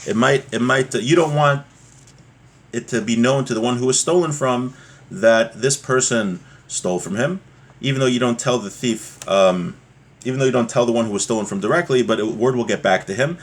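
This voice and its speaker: A man's voice: mid-range voice, brisk. A man giving a religious text lesson, reading aloud and explaining step by step with frequent rhetorical check-in questions. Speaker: religious teacher.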